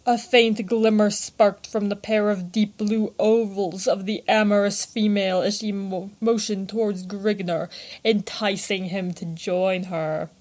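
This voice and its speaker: A woman's voice: medium pitch, darkish tone. A woman narrating a story aloud in a theatrical way, savouring the florid prose.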